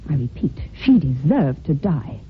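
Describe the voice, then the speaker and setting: An actress playing a prim, defensive, devoted secretary who speaks formally and emphatically in an old-time radio drama. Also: female voice, low voice, sing-song, measured pace, slight background noise. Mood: bitter, frank. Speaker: actor in a radio mystery. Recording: thin, phone-like old radio recording.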